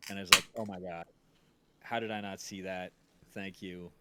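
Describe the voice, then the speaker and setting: A man's voice: low-pitched, fairly even intonation, normal speed, heavy background noise. A man hosting a stream in casual chat, talking in a rambling, jokey way. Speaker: stream host.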